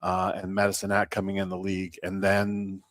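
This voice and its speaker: Low-pitched man, halting interview talk. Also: monotone, no echo, studio quality. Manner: measured, halting, often pausing mid-sentence. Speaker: baseball team representative, interview guest. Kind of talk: unscripted sports interview talk.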